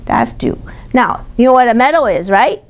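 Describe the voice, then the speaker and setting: A woman leads a read-aloud for small children in a fairly high, highly expressive voice. She points things out, asks questions and explains words in simple terms. There is faint background noise on the recording. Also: normal speed. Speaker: storytime reader teaching young children.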